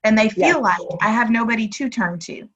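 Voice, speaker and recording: A woman's voice: fairly high pitch, animated, fairly quick. Empathetic young woman hosting, lo-fi audio. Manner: empathetic, prompting, with soft affirmations. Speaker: podcast host, former educator. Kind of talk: casual interview talk. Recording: dull lo-fi remote audio.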